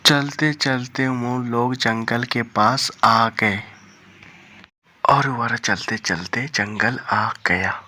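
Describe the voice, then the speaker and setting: A man's voice: medium pitch, fairly even intonation, very slow, sharp tone. A man narrating a scripted cartoon story as its storyteller.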